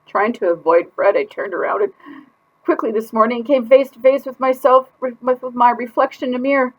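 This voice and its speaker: A woman's voice: on the high side, fairly quick, voice pushed up. A woman reading a children's picture book aloud as a put-upon goldfish keeping a diary, with exaggerated, exasperated emphasis. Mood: frantic, fed up. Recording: dull lo-fi sound.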